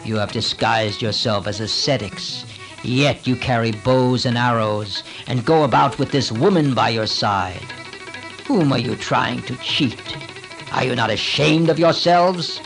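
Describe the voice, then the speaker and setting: A man's voice: medium pitch, measured pace, gravelly, over music. A man voicing a huge, bloodthirsty male demon who taunts his foes in a menacing way. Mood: taunting, menacing.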